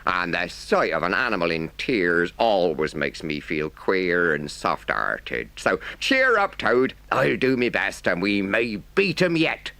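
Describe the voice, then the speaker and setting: A man voicing a kindly, gruff older male engine driver who speaks firmly and plainly. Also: deep voice, sing-song, measured pace, few pauses, pushed and bright, exaggerated voice. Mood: earnest.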